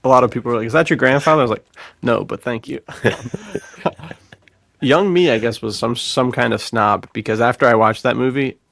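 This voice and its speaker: A man's voice: medium pitch, moderate pace, vocal fry, a bit bright. A man chatting casually on a podcast in a slangy, enthusiastic way, prone to chuckling. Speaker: podcast co-host.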